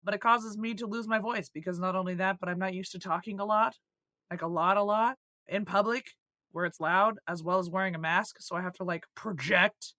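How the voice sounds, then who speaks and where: Hoarse, croaky woman, bright edge. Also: mid-range voice, fairly even intonation, brisk, no room sound. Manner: swearing, joking, laughing often. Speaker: podcast co-host. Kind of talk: casual podcast chat.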